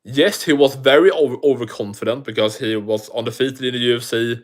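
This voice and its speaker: A man's voice: mid-range voice, fairly quick. A man with a thick non-native accent hosting a casual livestream, rambling and swearing as he answers viewer comments. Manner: rambling, profane, restarts often. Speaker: livestream host.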